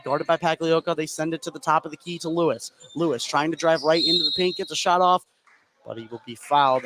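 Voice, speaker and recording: Male basketball play-by-play announcer, somewhat high voice, excitable on the action, live radio feel with noisy ambience. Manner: rises in excitement on shots and steals. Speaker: play-by-play sports commentator.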